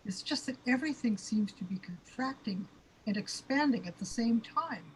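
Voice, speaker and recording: A woman playing an overwhelmed, hesitant figure unready for warping reality, breathy and hushed, in amateur sci-fi audio drama. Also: on the high side, animated, normal speed, a little hiss or hum, lightly acted, poor audio. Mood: anxious.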